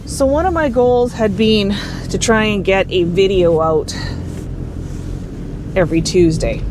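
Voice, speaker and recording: Casting: middle-aged Canadian travel vlogger, mid-pitched, candid and wry, casual narration recorded amid clear vehicle noise. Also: unhurried. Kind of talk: casual vlog narration, thinking aloud. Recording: noisy, vehicle and outdoor rumble.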